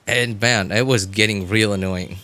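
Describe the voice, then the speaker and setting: A man's voice: on the low side, steady tone, moderate pace, bright, forceful tone. A man livestreaming a game, chatting casually with viewers and thinking aloud in a rambling way. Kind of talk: casual gaming stream chat with viewers.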